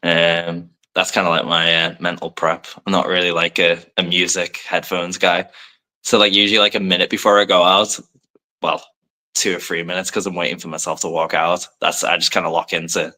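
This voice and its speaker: A young man from Liverpool with a low voice that still has a bright, forward edge. His accent is a soft English one with faint American touches. He talks in a loose, rambling way, heavy on fillers and modest asides. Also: level tone, moderate pace, poor audio. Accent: soft English, light Americanisms. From Liverpool, England. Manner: rambling, many fillers, self-deprecating. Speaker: professional wrestler, guest. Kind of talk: casual podcast interview.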